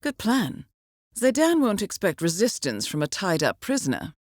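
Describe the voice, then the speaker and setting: An actress playing a coolly professional handler who briefs an assassin in a video game, speaking in a composed, formal with dry understatement. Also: female voice, mid-range voice, sing-song, normal speed, no room sound. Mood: dryly approving.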